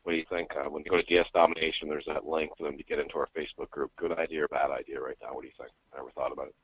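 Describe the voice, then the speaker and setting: A man co-hosting a business webinar over a phone line, breaking in quickly with questions and jokes. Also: extremely low pitch, flat delivery, breathy edge, dry recording. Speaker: webinar co-host. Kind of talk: casual business webinar talk.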